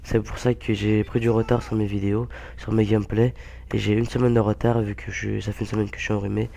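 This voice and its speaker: A young man with a hoarse, blocked-nose voice from a cold, giving halting, casual gameplay commentary full of fillers. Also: on the low side, flat delivery, mellow. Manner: halting, many fillers and restarts. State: hoarse, stuffy-nosed with a cold. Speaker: gaming YouTuber.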